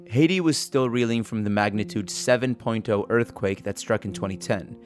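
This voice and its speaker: Guy hosting a reported story, lowish voice, reading a script w soft music under it. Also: moderate pace. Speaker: podcast host and reporter. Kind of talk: scripted narration. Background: music bed.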